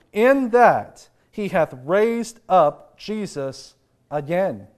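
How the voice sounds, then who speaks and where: A man preaching a sermon, sounding congested and nasal from a sinus infection, slowing to stress key phrases. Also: high voice.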